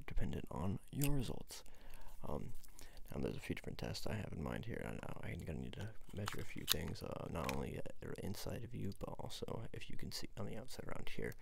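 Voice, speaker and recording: A man in his twenties doing soft-spoken ASMR roleplay as a repair technician, rambling hesitantly and repeating his phrases, close to the mic in a small room. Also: rumbling low, vocal fry, husky. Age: 20s. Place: small room indoors at home.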